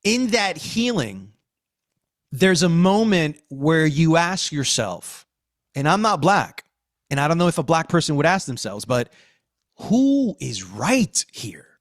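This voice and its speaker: A man hosting a podcast, discussing and reviewing in a conversational, emphatic way with deliberate phrasing. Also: on the high side, big pitch swings, dry recording, clean studio sound. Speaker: podcast host.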